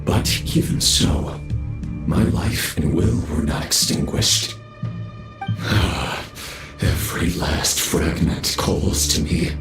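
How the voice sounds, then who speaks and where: An actor voicing a grandiose, undying video game villain with a theatrical, haughty and menacing delivery. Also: male voice, very deep voice, lively intonation, slow pace, exaggerated voice. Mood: brooding pride. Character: grandiose undying male villain, reborn from scattered fragments. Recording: echoing voice over game music.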